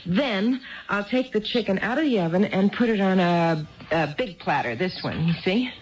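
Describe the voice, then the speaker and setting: An actress playing a nervous, hurt, neglected housewife in a 1970s radio drama, talking in a chatty, halting way that seeks approval. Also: female voice, mid-range voice, expressive, natural voice. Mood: eager, explaining. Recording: muffled vintage radio broadcast recording.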